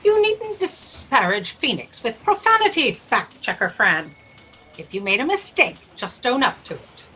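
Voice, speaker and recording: Imperious bright-voiced boss, played by a woman. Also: a little high, very animated, measured pace, full character voice. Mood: imperious, exasperated. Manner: pompous, scolding. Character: imperious, exasperated female cosmic editor-in-chief. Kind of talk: sci-fi satire audio drama. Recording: slightly noisy video-call audio.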